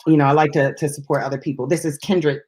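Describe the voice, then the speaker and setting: Woman, slightly low voice, radio host, casual unscripted chat, easygoing. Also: fairly even intonation, fast, darkish tone. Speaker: radio host and co-host of a music talk show.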